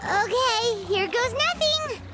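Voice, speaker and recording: An actress voicing a tiny, childlike fairy companion in a video game, with a squeaky, bubbly, naive manner. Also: female voice, animated, takes it slowly, over loud music, heavily acted. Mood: delighted. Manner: squeaky, bubbly, refers to self by name.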